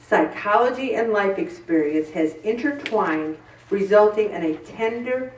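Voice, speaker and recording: A woman's voice: mid-range voice, expressive, a little slow. A middle-aged woman giving a candid, self-deprecating faith-based talk to a group, acting out her own inner voices. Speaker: group speaker. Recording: roomy echo from some distance.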